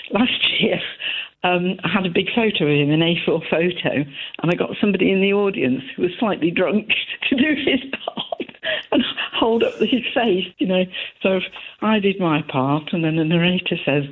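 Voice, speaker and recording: An older woman with an East Midlands accent, calling in over a muffled, narrow phone line. She chats in a cheeky, giggly way, and her voice sounds a bit husky. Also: fairly low pitch. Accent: East Midlands English. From Leicester, England. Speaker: caller. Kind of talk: late-night phone-in chat.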